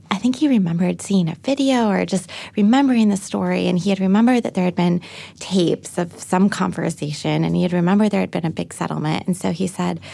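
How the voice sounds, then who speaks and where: A woman in her thirties, a journalist guest talking quickly in an interview, with a higher pitched voice, many fillers and repeated words. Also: gravelly, warm tone, dry recording. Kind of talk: interview conversation.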